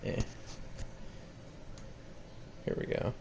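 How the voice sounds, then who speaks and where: A man giving a casual, matter-of-fact walkthrough of equipment he is selling, plain-spoken, in a noisy home-video recording. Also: drawn-out words. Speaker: seller demonstrating equipment.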